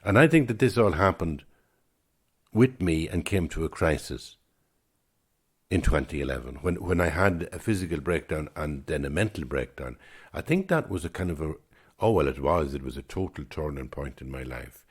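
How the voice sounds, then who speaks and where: A man's voice: rumbling low, expressive, normal speed, breathy edge. A man in his sixties, a podcast host, talking alone in a reflective, musing way and often repeating words as he gathers his thoughts. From Ireland. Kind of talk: reflective solo podcast talk.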